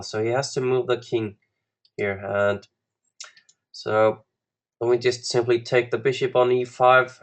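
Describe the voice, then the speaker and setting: A man with an Icelandic accent speaks as a coach guiding students through an online lesson. His delivery is slow and deliberate, broken by long pauses as he picks his words. His voice sits on the higher-pitched or middle side of neither extreme, an ordinary male register. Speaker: guest chess coach.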